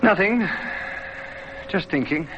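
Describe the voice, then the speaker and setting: An actor playing a stubborn, dreamy young ex-soldier, earnest and insistent, in old radio drama style. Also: male voice, high voice, expressive, slow. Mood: pensive. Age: young adult. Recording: muffled, faintly noisy old radio.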